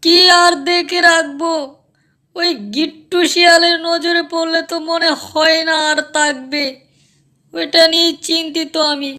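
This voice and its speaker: A woman voicing a fussy, excitable tiger's wife in an animated cartoon. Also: very high-pitched, a little slow, heavily acted. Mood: worried. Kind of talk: animated storybook cartoon dialogue.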